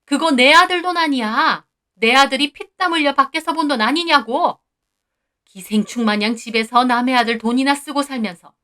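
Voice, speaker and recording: A loud, high-pitched older mother-in-law, put on by a woman narrator, hurls coarse insults in a shrill, scolding voice. She shouts and berates without letting up, as in a dramatized storybook reading. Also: normal speed, natural voice. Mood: furious.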